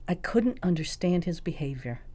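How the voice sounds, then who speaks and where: A woman's voice: lowish voice, animated, moderate pace, dark tone. A woman reading a scripted first-person memoir as an audiobook narrator.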